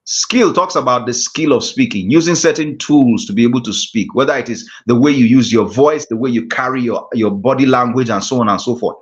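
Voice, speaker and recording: A man with a Nigerian accent teaching a public-speaking class, measured and repeating key phrases for emphasis. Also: medium pitch. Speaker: guest speaking coach. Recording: muffled lo-fi audio.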